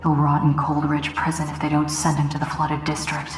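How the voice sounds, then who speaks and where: A woman's voice: low-pitched, monotone, a little fast, slight background noise, natural voice. An actress playing a blunt, wry female assassin with a dry, sardonic manner, in a game. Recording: echoey with long reverb, music behind.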